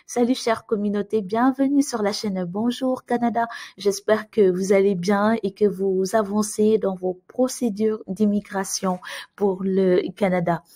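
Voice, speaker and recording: A woman's voice: mid-range voice, steady tone, a little slow, warm tone. A woman hosting an advice channel, answering viewer emails in a casual, friendly way with many hesitations and fillers. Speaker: immigration advice channel host.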